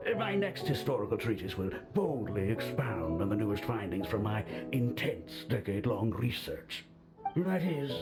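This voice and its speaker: An actor playing a pompous, boastful male historian in a game, grandstanding and quick to take offense. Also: medium pitch, vocal fry. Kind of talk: video game voice acting.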